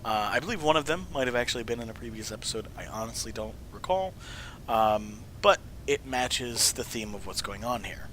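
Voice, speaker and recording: A man whose voice is mostly gone from a cold, hoarse and at times slipping into a whisper, yet still bright and edgy in tone. He rambles through a casual walkthrough, correcting himself and cracking jokes. A faint hiss of noise sits under the recording. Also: mid-range voice, expressive, fairly quick, poor audio. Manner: rambling, self-correcting, jokey. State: voice mostly gone from illness, sniffling. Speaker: video host showing game builds.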